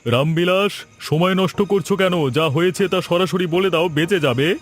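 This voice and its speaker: A man's voice: high-pitched, normal speed, soft background music, lightly acted. A man voicing a stern, righteous police officer in a cartoon, speaking with accusing authority. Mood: pressing. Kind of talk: Bengali cartoon dialogue.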